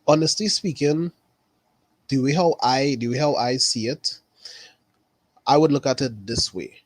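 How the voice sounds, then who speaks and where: A man from Trinidad with a Trinidadian accent, chatting casually about a TV episode, unhurried and repeating his phrases. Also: mid-range voice, dry recording. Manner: unhurried, repeats phrases, says "really and truly". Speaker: caller.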